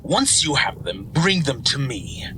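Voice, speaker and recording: A cruel snake lord of fantasy audio drama. He savors his words slowly, mocking and grandiose, with big swoops in pitch. Clear noise sits around the voice. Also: sing-song. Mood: commanding. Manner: theatrical, mocking, sneering. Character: scheming gloating male snake villain lord, grandiose and cruel. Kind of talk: fan audio drama villain acting.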